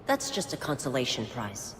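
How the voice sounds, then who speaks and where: An actress playing a cool female assassin in a video game, deliberate and challenging. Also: rumbling low, wildly swooping pitch, heavily acted. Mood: cool taunting. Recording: echoey hall, faint noise.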